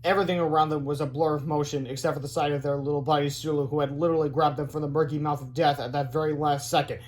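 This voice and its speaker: A man narrates a children's book in a read-aloud style. His voice is a little higher than average for a man. The delivery is expressive storytelling. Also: fast talker.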